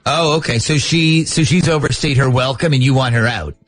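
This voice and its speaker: A man's voice: medium pitch, fairly quick, faint music behind. A man hosting a radio show with casual, glib, teasing banter.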